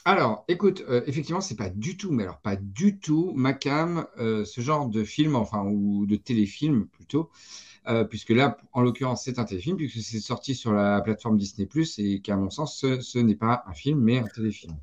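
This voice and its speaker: A man's voice: medium pitch, animated, normal speed, a little mellow. A man co-hosting a film review in casual, opinionated chat, teasing and emphatic, repeating himself. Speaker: film review show co-host. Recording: dull, slightly lo-fi microphone.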